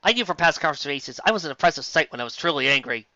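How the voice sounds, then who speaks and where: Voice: mid-range voice, lively intonation, very fast, pushed and bright, no echo, dull audio. A theatrical, exasperated host giving a comic dramatic reading of fan fiction, with asides.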